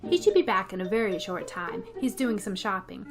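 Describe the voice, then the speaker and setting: Woman playing a wisecracking schoolteacher, mid-pitched. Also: very animated, brisk, music in the background, lightly acted. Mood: friendly, wry. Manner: dry, wisecracking. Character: wry, quick-witted female high school English teacher. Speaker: actor in a radio play. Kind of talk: comedic old-time radio play acting and narration.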